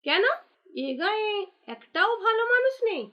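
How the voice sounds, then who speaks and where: A woman voicing a sharp-tongued, fed-up village wife in a cartoon, nagging and sarcastic. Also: very high voice, lively intonation, normal speed, highs cut off. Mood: scolding, reproachful. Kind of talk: cartoon dialogue acting.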